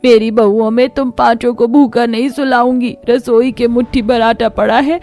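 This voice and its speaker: A woman's voice: on the high side, fairly even intonation, normal speed, darkish tone, pitched above the performer's own voice, close to an everyday voice. A woman voicing a poor, elderly, self-sacrificing village mother-in-law in a cartoon, with a plaintive, pleading manner. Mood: tearful reassurance.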